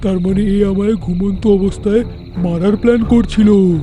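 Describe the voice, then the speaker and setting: A man's voice: high-pitched, steady tone, mellow, soft background music, natural voice. A man voicing a bold, crafty, boastful elephant in a cartoon, with a swaggering manner. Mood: suspicious. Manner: taunting, swaggering, deliberate. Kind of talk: animated cartoon voice acting.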